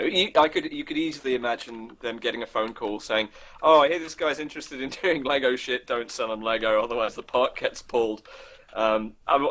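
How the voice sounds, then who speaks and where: A man's voice: mid-range voice, a bit bright. A man in a casual, opinionated discussion as a podcast host, thinking aloud in a rambling, informal way and acting out imagined dialogue.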